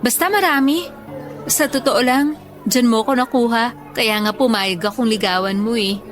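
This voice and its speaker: A woman playing a tired, affectionate young schoolteacher in a Filipino radio love drama, speaking softly and earnestly. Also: a little high, moderate pace, soft background music, close to an everyday voice. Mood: playful, fond.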